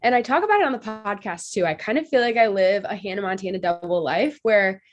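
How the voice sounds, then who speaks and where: A woman in her twenties chats as a podcast guest in a mid-pitched voice, slightly nasal from allergies. She sounds upbeat and bubbly, with loose, informal phrasing. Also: animated, quick pace, dull audio. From Ohio. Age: 20s. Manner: upbeat, bubbly, frequent "like" fillers, laughs easily. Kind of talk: casual interview chat.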